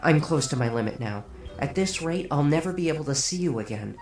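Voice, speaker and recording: A man playing a calm, polite young man who now appears as a glowing spirit, speaking smoothly with a light teasing edge. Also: a little high, brisk. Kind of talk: fan audiobook acting. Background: music.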